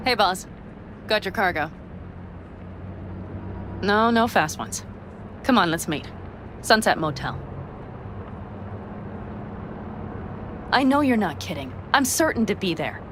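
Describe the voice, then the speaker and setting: Blunt, animated young nomad woman played by an actress. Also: mid-range voice, a little slow, long pauses, natural voice. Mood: businesslike, wary. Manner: blunt, colloquial, swearing. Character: tough, hot-headed young nomad smuggler woman, loyal to clan. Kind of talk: video game cutscene acting. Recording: clear ambient vehicle noise behind.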